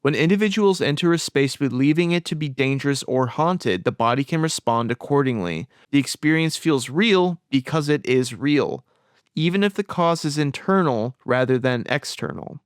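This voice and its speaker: Man, scripted voice-over, measured and explanatory, smooth resonant tone, dry close mic. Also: mid-range voice, expressive, no room sound. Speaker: video commentator.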